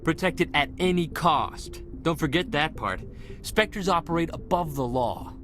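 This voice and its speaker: A man acting an eager, restless young marine corporal in a video game, excitable and earnest. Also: a little high, measured pace. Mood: keyed up. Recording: loud music behind the voice. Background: music.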